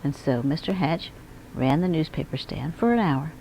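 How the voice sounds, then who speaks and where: A woman reading a children's picture book aloud in an expressive storytelling manner. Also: low voice, normal speed, gravelly, slight background noise, low-quality recording. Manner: expressive, acts out dialogue and emphasis. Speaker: school media specialist reading aloud.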